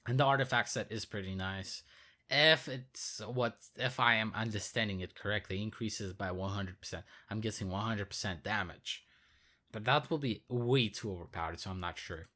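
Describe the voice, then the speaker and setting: A hoarse man who is losing his voice, giving casual, rambling commentary on a game update as a video creator. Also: fairly low pitch, normal speed, pushed and bright. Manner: rambling, filler-heavy, repeats himself.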